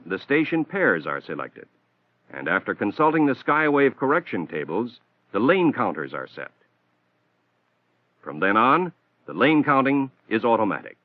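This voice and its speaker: A man's voice: medium pitch, no room sound. Man narrating an old training film. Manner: measured, instructional. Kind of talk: scripted technical narration. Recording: tinny narrow-band old film soundtrack.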